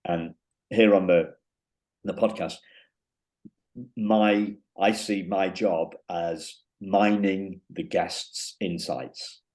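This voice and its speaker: A man hosting an interview podcast, talking in a halting way with many restarts and fillers. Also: deep voice, steady tone, darkish tone. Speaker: podcast host. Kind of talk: casual interview chat.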